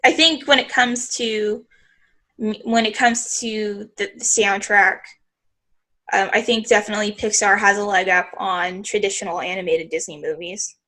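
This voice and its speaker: A woman's voice: medium pitch, steady tone, moderate pace, sharp tone. A young adult woman co-hosting a podcast with a slightly nasal, congested voice, chatting casually and often breaking off to restart her sentences. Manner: rambling, self-interrupting. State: congested from allergies. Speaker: podcast co-host. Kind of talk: casual chat.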